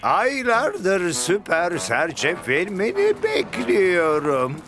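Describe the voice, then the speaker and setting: A man's voice: sits high, wildly swooping pitch, extremely slow, exaggerated voice. A man voicing an exuberant, enthusiastic friend in a preschool cartoon dub. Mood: excited. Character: enthusiastic cartoon friend, a superhero film fan. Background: music.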